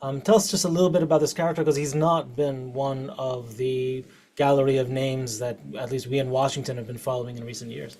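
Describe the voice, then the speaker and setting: A man's voice: medium pitch, level tone, fairly quick, warm tone. A man moderating a panel, asking a guest friendly questions.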